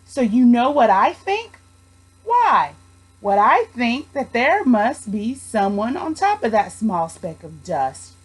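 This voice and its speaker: A woman voicing a gentle, protective male elephant in a children's storybook, speaking earnestly and emphatically. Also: on the high side, big pitch swings, slow pace, husky, heavily acted, rough recording. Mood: curious, kindly. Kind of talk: children's storybook read aloud.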